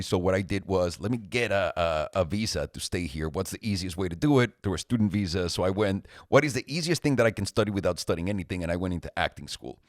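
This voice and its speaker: A man's voice: on the low side, no echo, studio quality. A man, co-hosting a podcast in a chatty, joking way. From Mexico. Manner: joking, talkative, laughs often. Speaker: podcast co-host. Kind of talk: casual chat.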